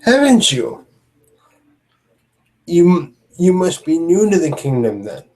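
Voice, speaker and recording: A man voicing a huge, gentle male troll knight who speaks with formal, soft-spoken courtesy. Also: on the high side, sing-song, slow, rough recording. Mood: courtly.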